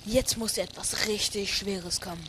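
A man's voice: high-pitched, fairly even intonation, measured pace, fairly bright tone. A man reading scripted comic narration as the lead character of a homemade parody audio drama. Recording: clearly noisy homemade recording.

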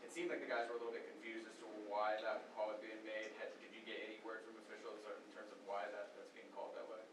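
A man, a sports reporter, posing measured questions at a press conference. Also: lowish voice, level tone, far from the mic, a crowd in the background. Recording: echoey room, picked up from afar.

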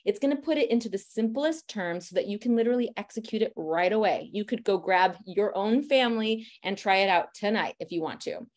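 Loud, chatty woman teaching a class. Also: medium pitch, a little fast, few pauses. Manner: energetic, direct, encouraging; says she talks a lot and loudly. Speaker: family photographer teaching posing. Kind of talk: presentation lecture.

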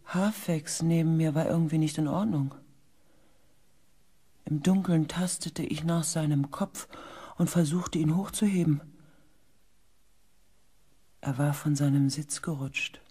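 A man playing a shaken, earnest expedition pilot in a science fiction radio play, with weighty, hushed dramatic delivery. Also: on the high side, steady tone, unhurried, pauses often, slightly rough. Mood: hushed, tense.

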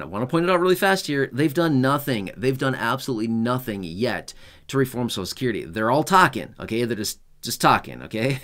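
Chatty man vlogging to camera. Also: mid-range voice. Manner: conversational, keeps checking in with "okay?" and "right?"; repetitive, reassuring, animated delivery. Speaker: YouTube channel host. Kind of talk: talking-head explainer vlog. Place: small indoor home studio room. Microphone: fixed camera at chest-up framing.

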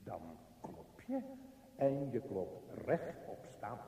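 Pompous office boss in echoey room. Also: male voice, mid-range voice, very animated, takes it slowly, vocal fry, mellow, exaggerated voice. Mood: pompous, stern. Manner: pompous, lecturing. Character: bossy, self-important office manager, grumpy and pedantic. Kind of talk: radio play monologue. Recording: roomy, reverberant, distant from the mic.

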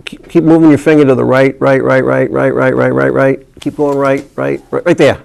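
A man's voice: mid-range voice, level tone, moderate pace, gravelly, darkish tone, poor audio. A man chairing a town planning board meeting, asking direct, methodical questions into a desk microphone. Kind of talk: public board meeting discussion. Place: town hall meeting room.

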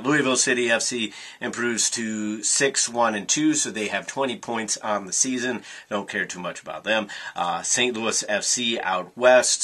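A man in his thirties giving an animated, frustrated postmatch review from a small room into a webcam. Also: on the low side, husky. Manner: animated, frustrated ranting with gestures. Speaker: soccer fan vlogger. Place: small room with sports posters, indoors.

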